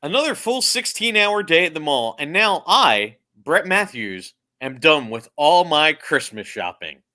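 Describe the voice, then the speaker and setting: A man's voice: fairly high pitch, very animated, unhurried, bright, forceful tone. A man giving a dramatic table reading of a cartoon script as a panelist.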